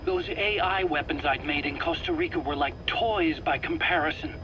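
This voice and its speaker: A man's voice: on the high side, normal speed, natural voice. A man playing an anxious, defensive weapons scientist, hesitant and stammering, in a video game drama. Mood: explaining eagerly. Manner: hesitant, stammering, pleading and self-justifying. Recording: clear background noise, interrogation tape.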